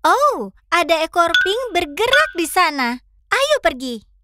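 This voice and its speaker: Cheerful young fox, kids' cartoon, childlike, high, swooping intonation. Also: female voice, a little slow, very noisy, exaggerated voice. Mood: cheerful, eager. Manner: animated, childlike, clear instructing. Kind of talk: children's cartoon acting, addressing viewers.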